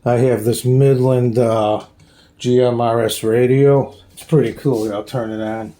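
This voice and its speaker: A man's voice: medium pitch, fairly even intonation, mellow, a little hiss or hum. Rambling man giving a casual show-and-tell. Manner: rambling, halting, plain-spoken. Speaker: hobbyist host giving a radio gear tour. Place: small room at home.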